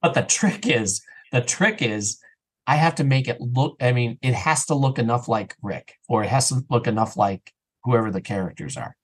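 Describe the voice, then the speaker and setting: Guy on a podcast talking casually about his creative work, mid pitch, slips into little quoted bits. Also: animated, moderate pace, slightly rough. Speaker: podcast guest, audio drama creator. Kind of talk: casual interview chat.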